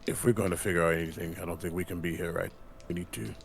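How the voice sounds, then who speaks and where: A man playing a tabletop game, asking questions in a quiet, uncertain way. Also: deep voice, steady tone, quick pace, gravelly, a little hiss or hum. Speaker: player.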